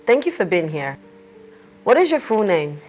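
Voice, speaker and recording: A woman's voice: on the low side, sing-song, normal speed, darkish tone, soft background music. Woman interviewer asking short questions. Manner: brief, plain questions. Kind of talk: oral history interview.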